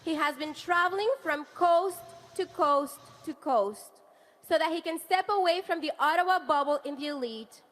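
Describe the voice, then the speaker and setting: A woman's voice: sits high, normal speed, fairly bright tone. A woman in her thirties from Venezuela, raised in Canada, giving a rousing political rally speech with rhetorical build-ups. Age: 30s. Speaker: rally speaker, wife of a party leader.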